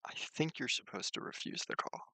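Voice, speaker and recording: A man's voice: fairly quick, bright, forceful tone, no echo. A man reading a visual novel aloud as a streamer, mixing narration with casual commentary.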